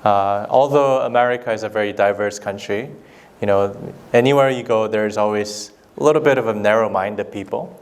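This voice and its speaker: A young man whose first language is Korean, a documentary filmmaker answering student questions in a relaxed, thoughtful way on a handheld mic. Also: lowish voice. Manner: thoughtful, relaxed, slow deliberate phrasing. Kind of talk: casual Q&A with students. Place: school hall. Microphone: handheld microphone.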